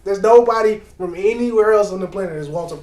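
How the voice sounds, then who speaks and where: A man with a fairly high voice chats through a reaction video in a loose, slangy way. He is lively and repeats points for emphasis. The sound is roomy and echoey. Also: fast talker. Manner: animated, slangy, repeats himself. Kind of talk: casual reaction commentary.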